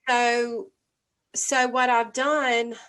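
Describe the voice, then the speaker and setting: A middle-aged woman co-hosting a podcast, talking in a halting, thoughtful way with many restarts. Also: fairly high pitch, little pitch movement, fairly bright tone. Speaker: podcast co-host. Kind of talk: casual reflective chat.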